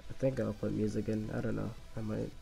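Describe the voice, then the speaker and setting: A man with a mellow voice, a little worn from illness and a lingering cough, talks casually over his own gameplay. He stumbles and restarts his sentences often and chuckles at himself. Faint game music sits quietly behind him. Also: lowish voice, little pitch movement, gravelly, low-quality recording. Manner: halting, many restarts and fillers, laughs at himself.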